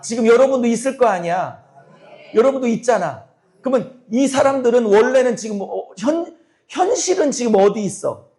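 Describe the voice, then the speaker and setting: A middle-aged male pastor with a high-pitched voice, preaching to his congregation. His voice has gone hoarse and cracks from long talking. He keeps throwing questions at the listeners and talks in a blunt, forceful way. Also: expressive, normal speed. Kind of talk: sermon.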